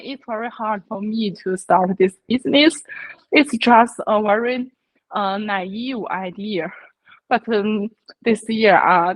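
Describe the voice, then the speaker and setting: A woman taking part in an English practice chat, speaking hesitantly with many filler pauses. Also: medium pitch, no echo, low-quality recording. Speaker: English learner, participant. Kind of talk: casual conversation practice.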